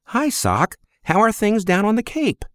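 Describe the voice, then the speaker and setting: A man voicing a weary but friendly middle-aged receptionist, speaking softly. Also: mid-range voice, huge pitch swings, unhurried, dry recording, clean studio sound, pitched above the performer's own voice.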